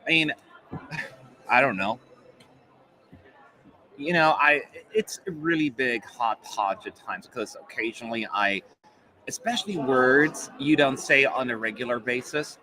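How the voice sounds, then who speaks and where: A man's voice: mid-range voice, a little slow, pauses often, crowd noise, low-quality recording. A man in his forties, German-born and living in America, with a slight German accent under mostly American speech, chatting casually as a live-stream host with many fillers. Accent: German, mostly American-sounding.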